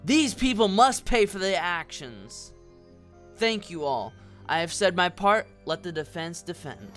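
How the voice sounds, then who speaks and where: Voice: mid-range voice, very animated, a little slow, over music. An actor playing a formal, accusatory prosecuting lawyer with an oratorical delivery in an audio drama. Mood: stern, persuasive. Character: formal, theatrical prosecuting lawyer in a fantasy court. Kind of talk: scripted audio drama courtroom speech.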